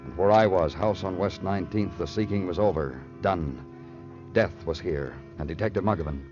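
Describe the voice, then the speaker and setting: A man acts out a world-weary big-city detective with a lowish voice, brooding and poetic in his clipped phrasing. It is classic mid-century radio noir, on a dull old recording with clear music behind. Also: moderate pace, lightly acted. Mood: wistful, brooding. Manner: brooding, clipped, lyrical delivery. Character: world-weary, poetic New York police detective narrating his case. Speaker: lead actor in a radio crime drama. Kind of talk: 1950s radio noir acting and narration.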